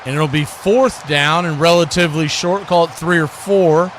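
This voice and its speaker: A man with a fairly high-pitched voice works as a sports host, mixing live football play-by-play with casual chat. Clear background noise runs under the whole feed. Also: normal speed, a bit bright.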